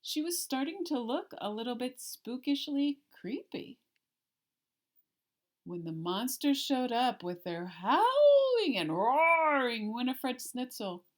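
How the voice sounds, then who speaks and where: A woman reading a children's picture book aloud like a teacher, with deliberate, expressive storytelling and emphasis on the rhymes. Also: on the high side. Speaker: elementary art teacher.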